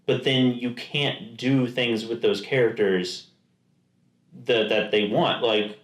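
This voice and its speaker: Male podcast co-host, mid-pitched, loose rambling delivery, roomy echo. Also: steady tone, slow pace. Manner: rambling, full of restarts and quoted asides. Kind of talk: casual chat. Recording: echoey room.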